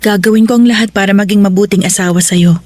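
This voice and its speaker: A woman playing a tender, anxious young wife and mother in a Tagalog radio drama, speaking in a soft, emotional, hesitant way. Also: medium pitch, fairly even intonation, fast, dry recording, soft background music, close to an everyday voice. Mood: tender, grateful.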